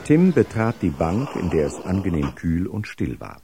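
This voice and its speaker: A man's voice: low-pitched, big pitch swings, normal speed, over music. A middle-aged man narrating a detective radio play for young listeners as a composed storyteller.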